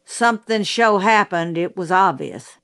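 A stubborn small-town sheriff from an audiobook drama, voiced by a woman. He talks slowly in clipped, colloquial country phrases and gives his account plainly. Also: mid-range voice, animated, a bit bright. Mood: plainspoken, matter-of-fact. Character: folksy male country sheriff giving plain, stubborn testimony. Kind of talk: audiobook character voice.

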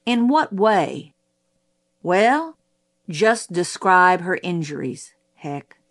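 Woman reading as a persistent, unhurried courtroom lawyer. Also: on the low side, big pitch swings, heavily acted. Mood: probing, measured. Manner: probing, pointed, formal courtesy. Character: courteous, persistent male lawyer, a father, questioning witness. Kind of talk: audiobook character voice.

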